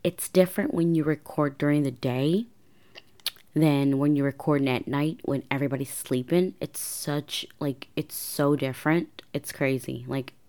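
A young woman with a raspy voice hosting her own podcast, telling a personal story in a chatty way with many fillers and repeats. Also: low voice, fairly even intonation, normal speed, warm tone. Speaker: podcast host.

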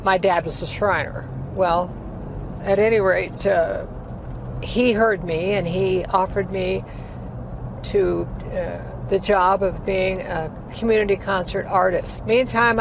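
A woman in her eighties, a former opera singer, recalling her career in a rambling, filler-laden way during a casual interview. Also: mid-range voice. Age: 80s. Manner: rambling storytelling with many fillers. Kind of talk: casual life-story interview. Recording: heavy traffic noise behind.

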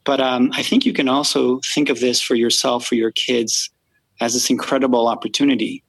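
Gravelly, husky-voiced man. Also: mid-range voice, level tone, a little fast, a bit bright, dry recording. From France. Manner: thoughtful, hesitant with many fillers. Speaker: podcast guest. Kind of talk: casual conversational interview.

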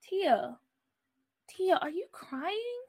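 A woman's voice: high voice, very animated, slow, voice pushed up, exaggerated voice. A woman voicing a concerned, level-headed schoolboy in a story, with an earnest manner.

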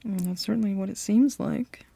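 A woman playing a bossy, flirty company boss in an ASMR roleplay, speaking in a teasing, self-assured way with deliberate pauses. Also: mid-range voice, vocal fry, dark tone, breathy edge, no echo. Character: bossy, flirty, demanding female company boss interviewing an applicant.

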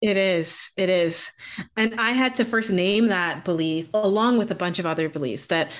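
A woman's voice: fairly low pitch, normal speed. A woman from the Bahamas, a business coach speaking as a podcast guest in an earnest, reflective way and laughing easily.

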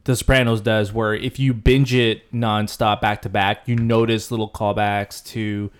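A man's voice: fairly low pitch, little pitch movement, unhurried, clean tone. A man co-hosting a podcast, joking and tripping over his words in casual chat. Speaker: podcast co-host.

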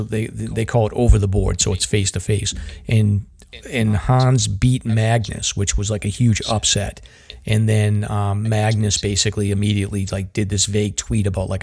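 A man's voice: lowish voice, vocal fry, darkish tone, husky. A man with a Boston accent hosting a podcast in casual chat, rambling and using lots of fillers like "like" and "um". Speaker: podcast host.

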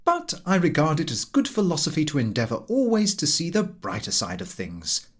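A man narrating a comic travel story as an audiobook reader, in a wry, measured and expressive way. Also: a little high.

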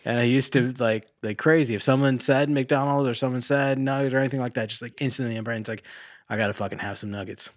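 A man with a middling pitch talks casually as a podcast co-host. He rambles and swears freely, recorded close and dry with very little room sound. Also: fairly even intonation, fast, no room sound. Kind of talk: casual chat.

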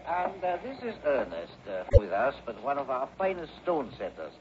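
Casting: a courteous, hesitant shopkeeper, lively intonation, hissy vintage radio drama. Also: male voice, mid-range voice, normal speed. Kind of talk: 1960s radio drama acting. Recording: dull, noisy vintage radio recording.

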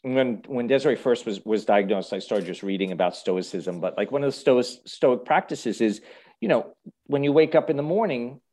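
A man hosting a casual, reflective conversation, speaking thoughtfully and haltingly and often checking in with the listener. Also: medium pitch, lively intonation, mellow. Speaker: host.

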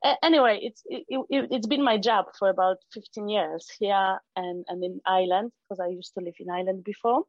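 A woman's voice: mid-range voice, fairly quick, few pauses, no room sound, lo-fi sound. A middle-aged woman from Poland with a Polish accent, a guest talking casually in an interview, often restarting and filling with "um". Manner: hesitant, many restarts and fillers. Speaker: guest, founder of an arts venue for kids.

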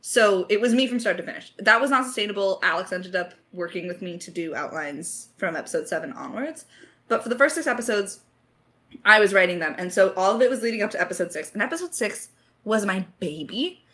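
Female writer in a relaxed Q&A; animated, bright delivery with dramatic emphasis. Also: medium pitch, brisk. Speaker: lead writer of an audio drama. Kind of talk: casual creator Q&A chat.

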